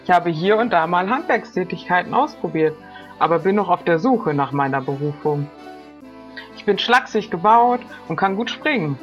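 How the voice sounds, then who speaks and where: A woman's voice: on the low side, lively intonation, normal speed, lo-fi sound, soft background music, lightly acted. A woman playing a lanky, eager young would-be pirate, earnest and plain-spoken in an improvised role-play. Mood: cheerful introduction.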